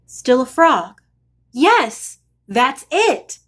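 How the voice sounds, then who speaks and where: A woman's voice: sits high, big pitch swings, drawn-out words, a bit bright, exaggerated voice. A woman voicing a hapless, polite storybook prince who pleads and gets flustered. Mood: unhappy, eager. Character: hapless, polite, whiny male fairy-tale frog prince.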